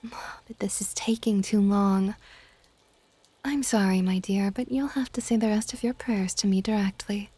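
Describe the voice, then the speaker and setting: A woman playing a possessive, affectionate dragon goddess in an ASMR audio roleplay, with a soft, whispery, teasing and intimate delivery. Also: steady tone, no room sound. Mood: impatient, musing. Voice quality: soft, breathy whispering mixed with low-volume speech. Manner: doting, teasing, unhurried.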